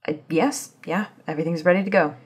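A woman playing an anxious, self-doubting scientist in an audio drama, breathy and halting as she searches for words. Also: lowish voice. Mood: flustered, polite. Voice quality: breathy, husky. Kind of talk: ASMR audio drama acting.

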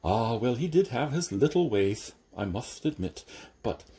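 A man voicing a calm, deferential, stitched-together manservant who answers dryly and patiently. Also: mid-range voice, unhurried. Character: unflappable, deferential stitched-together male servant. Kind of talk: audiobook character reading.

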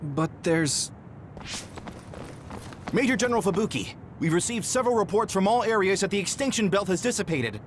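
A man's voice: high voice, lively intonation, normal speed, pauses often, slight background noise. An actor playing an earnest, formal young male soldier in an anime game dub. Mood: urgent, puzzled.

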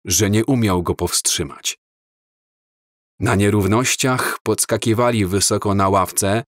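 A man reads a story aloud at a very slow, deliberate pace. His voice sits a little low and stays calm throughout. The sound is dry and close, with no room around it. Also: no echo. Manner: slow, measured, calm reading. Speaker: audiobook narrator. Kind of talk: scripted storybook narration.

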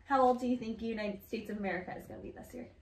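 A woman in her thirties hosting a children's story time, reading aloud and asking questions in animated, child-directed talk. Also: a little high, very fast. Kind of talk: picture-book read-aloud and chat for children. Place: living room, indoors. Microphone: camera a few feet away. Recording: echoey room.

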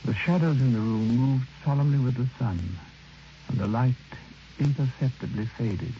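An actor playing a reflective, haunted man who narrates his own tale in a measured, literary, solemn radio drama style. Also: medium pitch, lightly acted. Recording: noisy, dull old radio recording.

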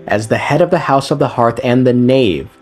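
A man's voice: mid-range voice, normal speed, darkish tone. A man giving a casual, sarcastic recap commentary of a game story, with profane, irreverent humor. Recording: voice over background music. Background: background music.

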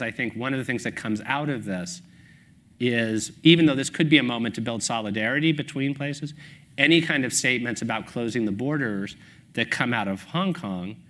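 A middle-aged man talking on a stage panel through a lapel mic, his voice bright and forceful. He works through his ideas aloud, restarting phrases as he goes. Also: medium pitch. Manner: thoughtful, restarts often while forming points. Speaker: panel guest, author. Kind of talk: panel discussion. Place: stage at a public talk, indoors.

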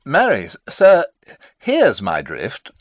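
A man's voice: medium pitch, huge pitch swings, slow, dry recording, studio quality, full character voice. An actor playing a fussy, long-winded old father and royal counsellor in a theatrical Shakespeare reading, pompous and rambling. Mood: scheming, pompous. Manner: rambling, pompous, theatrical, losing his thread. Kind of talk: dramatic reading of a Shakespeare play.

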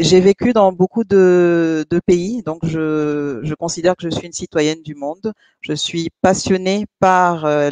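A woman's voice: low-pitched, darkish tone, no echo. A middle-aged woman introducing herself earnestly on a video call over a headset. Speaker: HR director, panelist. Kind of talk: self-introduction on webinar.

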